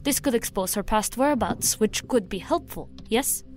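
A woman's voice: fairly high pitch, lively intonation, normal speed, darkish tone, soft background music, close to an everyday voice. A woman playing a businesslike technical agent in a science fiction audio drama, formal and precise in her speech. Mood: efficient.

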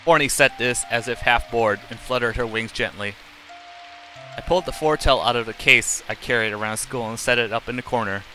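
A man's voice: mid-range voice, a little fast, pushed and bright, faint music behind, rough recording. A middle-aged man live streaming, reading game text aloud in a plain, unacted way with casual asides and occasional stumbles.

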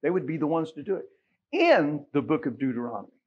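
A middle-aged man with an American accent preaching a sermon in a folksy, conversational way, leaning on emphatic rhetorical questions, with a husky voice. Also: fairly high pitch, sing-song, fast talker, lo-fi sound. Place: church sanctuary, at a pulpit.

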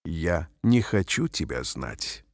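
A man reading short phrases aloud slowly and carefully, each one repeated, for language learners. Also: deep voice, sing-song, no echo. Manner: careful, clearly spaced phrases. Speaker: language teacher. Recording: dry studio voice-over.

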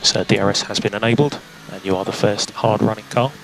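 A man giving calm, matter-of-fact, terse instructions to a driver over team radio as a race engineer. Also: fairly low pitch, fairly quick, creaky, low-quality recording. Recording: team radio with faint background noise.

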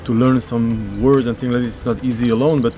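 A man's voice: mid-range voice, fairly even intonation, brisk, over music. A man in his thirties, not a native English speaker, chatting casually in a vlog with hesitant, repeated words. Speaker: vlogger and handball player. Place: outdoor rooftop terrace. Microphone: on-camera shotgun mic at short distance. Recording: outdoor urban noise, birds, traffic.

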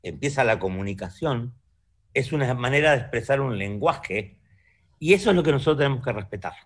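A man's voice: medium pitch, animated, fairly quick, husky. A man in his fifties from Argentina with an Argentine Spanish accent, speaking reflectively as an artist guest in a conversational interview, often checking in with the listener. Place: room at home. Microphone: video call webcam.

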